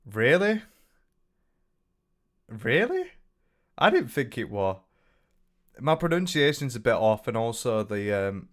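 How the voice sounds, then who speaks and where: Casual northern English man streaming. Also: on the low side. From north of England. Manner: rambling, frequent restarts, swears casually. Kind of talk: casual livestream chat reading comments.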